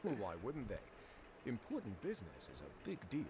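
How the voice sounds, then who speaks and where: A wry, lively-pitched, teasing administrator. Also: male voice, fairly quick. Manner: dry, teasing, conversational. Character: wry, easygoing male prison administrator, playfully teasing. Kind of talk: scripted game dialogue. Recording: clearly noisy game stream, ambient sound behind.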